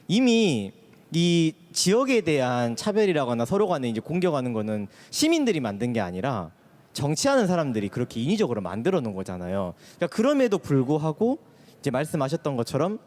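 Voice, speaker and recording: A man's voice: fairly high pitch, huge pitch swings, fairly quick, darkish tone. A man who is a schoolteacher, speaking earnestly with a Gwangju regional accent as a guest at a live talk concert. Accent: Gwangju regional dialect. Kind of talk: stage talk. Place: stage before a live audience.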